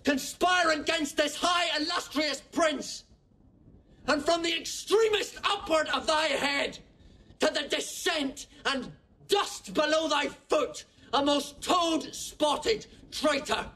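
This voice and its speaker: An actor playing a defiant young noble knight, declaiming a challenge in classical Shakespearean audio drama style. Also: male voice, very high voice, bright, forceful tone, heavily acted. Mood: righteous fury. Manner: declamatory, slow, ringing delivery.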